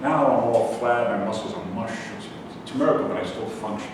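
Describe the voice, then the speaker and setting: A man playing a self-pitying, defensive sixty-five-year-old former soldier in a staged reading, with a halting, complaining delivery. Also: medium pitch, close to an everyday voice. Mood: rueful, complaining. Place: live reading in a reverberant room. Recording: echoey hall, distant mic, noisy.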